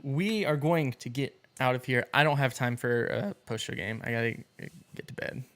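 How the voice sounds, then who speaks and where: A man hosting a gaming podcast in casual chat, his voice hoarse from a night of coughing, chatty and quick to laugh. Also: mid-range voice, lively intonation, a bit bright. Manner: chatty, laughs often, rambling. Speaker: podcast host.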